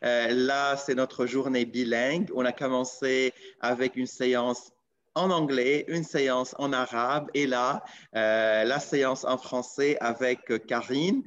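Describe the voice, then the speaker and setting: A man's voice: medium pitch, fairly even intonation, fairly bright tone, dull audio. A man hosting an online seminar, introducing the session and giving practical instructions in a hesitant way with many fillers. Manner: slow, hesitant, with many "euh". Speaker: webinar host. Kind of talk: event hosting.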